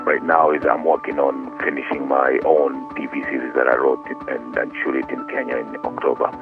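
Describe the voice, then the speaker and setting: A man's voice: rumbling low, flat delivery, brisk, narrow, tinny audio, over music. A man speaking casually as an interview guest, in an encouraging way that repeats phrases for emphasis. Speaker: guest actor and musician being interviewed.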